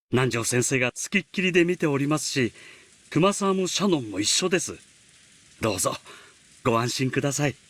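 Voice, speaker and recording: Fast, animated, fussy servant chef. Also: male voice, on the high side, no echo. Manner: effusively polite, theatrical. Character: fussy, eager-to-please male servant chef.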